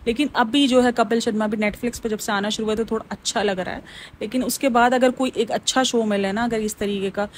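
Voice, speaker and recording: A woman's voice: on the high side, steady tone, brisk, slight background noise. A woman giving casual, opinionated gossip commentary as a vlogger, talking straight to her viewers. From Pakistan. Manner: chatty, opinionated, keeps calling listeners "bhai". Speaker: vlogger reviewing celebrity vlogs and TV shows.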